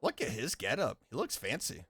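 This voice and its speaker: A man giving casual, playful commentary as he plays a game, jokey and expressive, talking back to the characters. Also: medium pitch, normal speed, background noise. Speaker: streamer reacting while playing a game. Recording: game music behind the voice.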